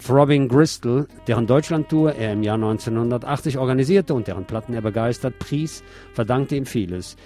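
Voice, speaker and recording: A man's voice: mid-range voice, a little fast, dark tone, faint music behind. A man reading a written article aloud as a radio host, in a scripted narration style.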